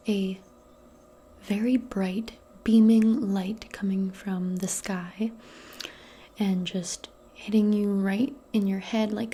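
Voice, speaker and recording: Woman, mellow hushed voice, unhurried, breathy whispering, intimate ASMR delivery, casual and gentle. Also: mid-range voice, slight background noise. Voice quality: soft, breathy, often dropping into a whisper. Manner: gentle, casual, hesitant chatter aimed closely at the listener. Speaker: ASMR performer role-playing as a modern witch. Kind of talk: soft-spoken ASMR role play, personal attention.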